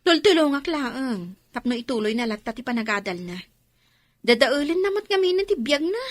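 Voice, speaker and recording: A woman acting an earnest, soft-hearted young student in a radio drama, with emotional delivery. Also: high voice, very animated, moderate pace, no room sound. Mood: troubled. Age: young adult.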